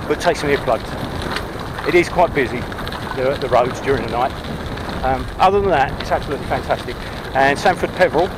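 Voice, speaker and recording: A man in his forties from England, chatting to an action camera while riding along country roads and a canal towpath. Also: fairly high pitch. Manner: chatty, upbeat, rambling asides. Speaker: cycle touring vlogger. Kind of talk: casual talk to camera while cycling. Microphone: action camera on the bike or held at arm's length. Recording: outdoor action-cam audio with wind noise.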